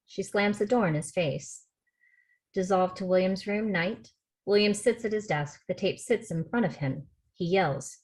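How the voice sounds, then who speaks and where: A woman's voice: medium pitch, unhurried, darkish tone. A woman reading out stage directions as scripted narration at a table read over a video call.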